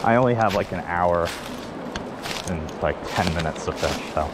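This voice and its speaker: A young man talking casually to an action camera while fishing outdoors on a riverbank, laid-back and swearing now and then. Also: low-pitched. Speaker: fishing vlogger. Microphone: head-mounted action camera. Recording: loud river and wind noise. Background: rushing river water and wind.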